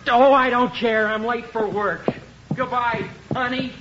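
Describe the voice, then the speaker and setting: An actor playing a defensive, easily exasperated young husband in 1950s radio comedy style. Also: male voice, extremely high pitch, fairly even intonation, unhurried. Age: young adult. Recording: muffled, noisy 1950s radio recording.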